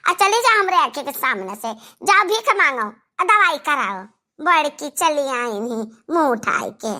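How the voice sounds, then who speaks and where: A woman's voice: high-pitched, big pitch swings, unhurried, pushed and bright, exaggerated voice. A woman playing a stingy, brusque, scolding village sister-in-law with an Awadhi accent in a comedy cartoon. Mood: angry scolding.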